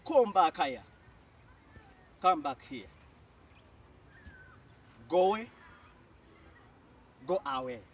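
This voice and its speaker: A man's voice: on the high side, lively intonation, extremely slow, long pauses. A man with a Jamaican accent giving a spoken language lesson, saying each phrase and then translating it, often repeating. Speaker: language teacher. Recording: distant mic with faint outdoor noise.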